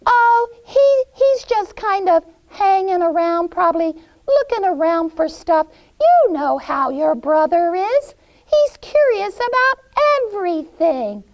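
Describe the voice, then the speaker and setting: Woman playing a high-pitched, cackling wicked old witch. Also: very animated, slow, darkish tone, muffled recording, exaggerated voice. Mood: fake-nice evasive. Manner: cackling, coaxing, theatrical. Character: wicked old witch, sweet-talking and gloating, fake-nice.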